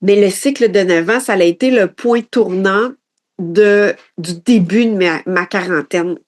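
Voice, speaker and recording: A woman in her late forties with a Quebec French accent, hosting a reflective personal development podcast in an introspective, halting way, often restarting her phrases. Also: medium pitch. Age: late 40s.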